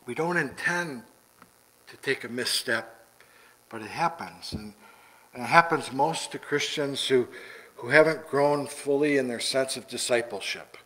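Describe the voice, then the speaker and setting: A middle-aged man preaching a sermon from the pulpit in a church sanctuary, deliberate and repeating words for emphasis. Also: medium pitch, pushed and bright, low-quality recording. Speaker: pastor. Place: church sanctuary, large indoor room.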